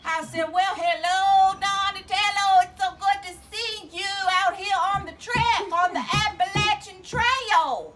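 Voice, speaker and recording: A woman putting on a loud, folksy, middle-aged mama hiker, hollering and talking about herself in the third person. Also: high-pitched, sing-song, a little slow, background noise. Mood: hammy. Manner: exclamatory, theatrical, calls herself Mama. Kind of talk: comic character bit.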